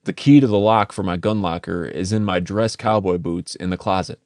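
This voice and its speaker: A man voicing a frantic man shouting an urgent warning to his family, swearing as he goes. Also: sits low, normal speed, dry recording, studio quality. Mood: urgent, pleading. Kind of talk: audiobook character voice.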